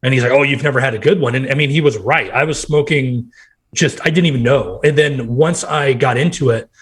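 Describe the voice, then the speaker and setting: A man in his thirties from Nebraska with a nasal, hoarse voice, chatting casually as a podcast guest and telling rambling stories. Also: medium pitch, brisk. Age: 30s. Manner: rambling, quotes himself in bursts. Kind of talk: casual chat.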